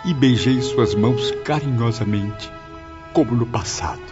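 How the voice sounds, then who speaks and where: A man playing a reflective memoirist, narrating his afterlife story in a solemn, measured, literary audiobook style. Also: mid-range voice, lively intonation, close to an everyday voice. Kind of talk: scripted first-person audiobook narration. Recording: dull lo-fi audio over faint music.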